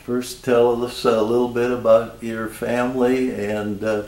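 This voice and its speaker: A man's voice: medium pitch, flat delivery, unhurried, a little mellow. An older man with an American accent, conducting a formal oral history interview as a volunteer. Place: small office indoors, seated. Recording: roomy, faint background noise.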